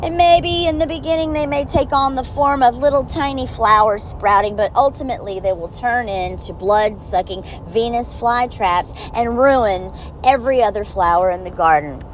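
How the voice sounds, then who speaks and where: A woman with a high-pitched voice gives a blunt, profane monologue straight to the listener, slowing down to stress key words. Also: a little hiss or hum, low-quality recording. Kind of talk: direct-to-camera monologue.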